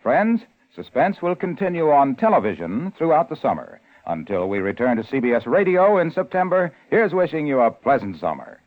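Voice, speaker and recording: Animated, higher-voiced male radio pitchman, tinny. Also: normal speed, hardly any pauses. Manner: polished, salesmanlike. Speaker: sponsor's radio announcer. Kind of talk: 1950s radio advertising pitch. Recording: tinny 1950s radio broadcast.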